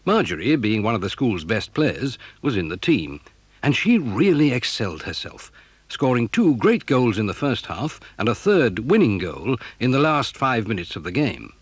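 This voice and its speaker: Animated English male storyteller, slightly husky. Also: medium pitch, no room sound. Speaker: narrator. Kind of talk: scripted storybook narration.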